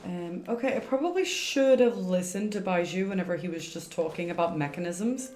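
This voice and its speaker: A woman's voice: lowish voice, a little fast, a little mellow, slight background noise. Chatty woman streamer in an echoey room. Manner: chatty, thinking aloud. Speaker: game streamer. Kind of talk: casual live gameplay commentary. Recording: roomy echo, game music behind. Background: game music.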